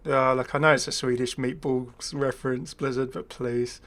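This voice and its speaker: A man's voice: mid-range voice, steady tone, moderate pace, fairly bright tone. A man giving casual, enthusiastic commentary on a game update in a chatty way. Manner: chatty, enthusiastic, readily amused. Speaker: YouTube gaming creator.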